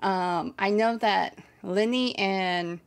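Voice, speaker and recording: A young adult woman giving casual reaction commentary, haltingly searching for her words, recorded on a desk microphone indoors. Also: mid-range voice, clear, resonant tone. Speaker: reaction video host. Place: small bedroom setup, indoors. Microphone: desk microphone on boom arm.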